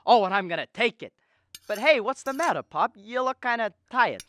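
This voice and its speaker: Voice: mid-range voice, expressive, normal speed, fairly bright tone, slight background noise, close to an everyday voice. A student performer playing an eager, boyish young man who dreams of travel, in a radio drama. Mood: fond, excited. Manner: enthusiastic, boyish.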